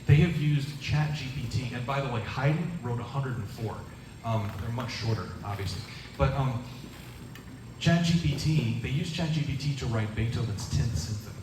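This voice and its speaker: Presenter answering questions, stop-start delivery, recorded far off in a roomy hall with noise. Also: male voice, medium pitch. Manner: halting, many restarts, animated bursts. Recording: echoey room, distant mic, noise.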